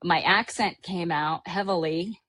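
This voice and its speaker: Podcast host, woman from Hawaii, lowish voice, dry close sound, casual rambling chatter with fillers. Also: measured pace, a bit bright, dry recording. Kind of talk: casual travel recap chat.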